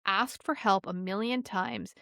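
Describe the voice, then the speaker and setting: Woman host, gravelly and bright, casual, swears, dry close mic. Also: mid-range voice, unhurried, dry recording. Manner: emphatic, casual, swears, uses "like" a lot. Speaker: podcast host. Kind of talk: casual interview chat.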